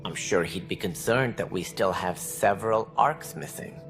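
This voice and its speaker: An actor playing a calculating, self-assured alien director in a video game, speaking in a measured, formal, condescending way. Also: male voice, fairly low pitch, music in the background, natural voice. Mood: formal, grave. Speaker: director of a space initiative. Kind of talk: video game cutscene acting.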